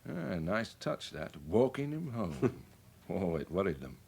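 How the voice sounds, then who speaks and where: An actor playing a worldly, middle-aged British police chief in a radio drama, measured and wry as he explains things. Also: fairly low pitch, sing-song, creaky, darkish tone, slightly rough, heavily acted. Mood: amused, confiding. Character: worldly, wry senior police chief briefing his sergeant.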